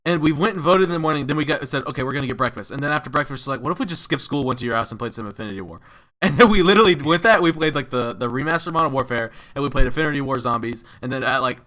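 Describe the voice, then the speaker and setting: A man's voice: mid-range voice, expressive, very fast, bright, forceful tone. A young man hosting a casual podcast chat, joking around, rambling and laughing often. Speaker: podcast host.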